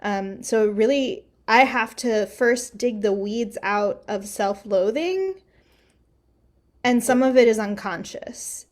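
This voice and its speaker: A woman's voice: a little high, slow. A young woman co-hosting a podcast, speaking reflectively with frequent "like" fillers. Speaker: podcast co-host. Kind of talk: casual discussion.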